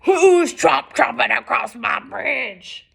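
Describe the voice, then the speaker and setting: A woman's voice: high-pitched, lively intonation, slow, creaky, fairly bright tone, breathy edge, pitched above the performer's own voice, heavily acted. A woman voicing a hideous, hungry male bridge troll from a storybook, roaring and menacing.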